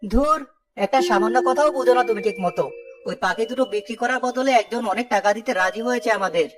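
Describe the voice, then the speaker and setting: A woman's voice: a little high, quick pace, natural voice. A woman playing a greedy, irritable village man in a children's cartoon. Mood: pleased, impatient.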